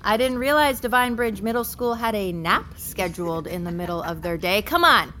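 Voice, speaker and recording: A woman's voice: mid-range voice, expressive, moderate pace, pushed and bright, a little hiss or hum. An actress playing a peppy, sassy corporate tour guide in an audio drama, chirpy and coaxing as she addresses a school group. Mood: perky, coaxing. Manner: chirpy, over-enthusiastic, coaxing.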